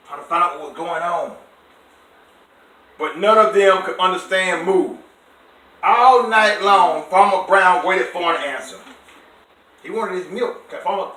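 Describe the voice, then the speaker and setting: A high, bright-voiced man reads a bedtime story for a child. He is expressive and stops to quiz and explain words simply. The room echoes and the microphone sits at a distance. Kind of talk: animated read-aloud with explanations for a child.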